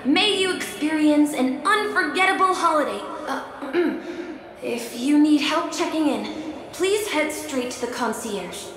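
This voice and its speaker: A high female voice rings with heavy echo over noisy ambience, a polite hotel greeter in a video game. She delivers courteous, formal service lines to guests. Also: a little slow. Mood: polite, welcoming. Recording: very echoey, noisy ambience behind.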